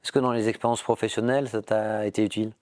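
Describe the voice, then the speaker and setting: A man's voice: on the low side, flat delivery, fast, darkish tone, no room sound. Man hosting an interview, dry mic. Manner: guiding questions, frequent fillers. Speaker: interviewer, prep school director.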